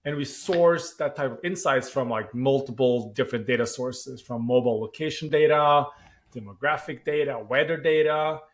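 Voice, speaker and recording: A man from Norway with a clear Norwegian accent talks through his work as a founder. He sits at an ordinary middle pitch and thinks out loud, pausing on "uh" and circling back to rephrase. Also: slight background noise. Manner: thoughtful, with frequent "uh" pauses and restarts. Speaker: startup founder. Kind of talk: podcast interview answers.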